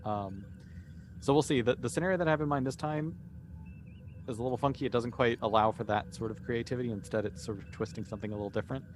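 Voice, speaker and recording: A man's voice: medium pitch, fast, slight background noise. A man chatting casually about his plans as a cast member, hesitant and understated. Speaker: cast member describing an upcoming scenario. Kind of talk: casual chat. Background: music.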